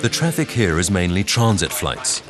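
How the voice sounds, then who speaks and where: A man reading scripted documentary narration in a measured, instructional way. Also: low voice, very animated. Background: background music.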